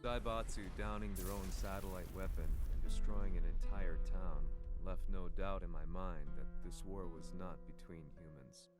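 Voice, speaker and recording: A man plays a grave journalist recounting war as a game's narrator. His very low voice is reflective and somber, set over prominent guitar music. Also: steady tone, moderate pace, hardly any pauses, lightly acted. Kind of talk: scripted story narration in a video game.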